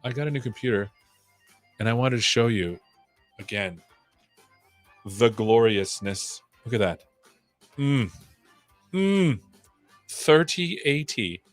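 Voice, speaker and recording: A man's voice: mid-range voice, lively intonation, takes it slowly, long pauses, soft background music. A man hosting a casual livestream, rambling playfully with jokey asides. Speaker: live show host. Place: own room at home.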